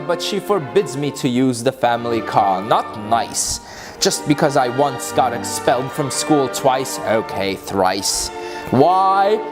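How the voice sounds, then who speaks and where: A mischievous narrator of unclear sex giving a theatrical, gleeful dramatic reading of comic verse. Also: mid-range voice. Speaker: author and reader.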